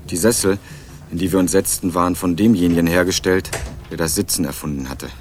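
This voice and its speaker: An actor playing a wry, laconic young student who narrates and speaks in a crime radio play with dry irony. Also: male voice, low voice, fairly even intonation, fairly quick, darkish tone, a little hiss or hum, close to an everyday voice. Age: young adult. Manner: dry, ironic. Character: wry, laconic young male student investigating his father's death. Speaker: narrator and protagonist.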